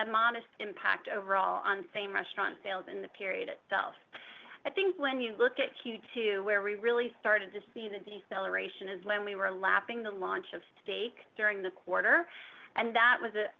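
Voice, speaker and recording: Woman, company finance executive, gravelly fry, measured corporate explanations, slightly muffled conference call. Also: mid-range voice. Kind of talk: prepared-sounding business Q&A answers.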